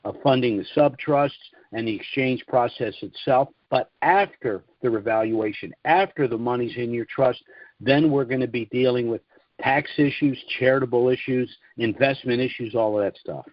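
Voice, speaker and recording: Call host, male, measured and businesslike, lo-fi dry audio. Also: mid-range voice, no echo. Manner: businesslike, spells out contact details slowly. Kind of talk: conference call Q and A.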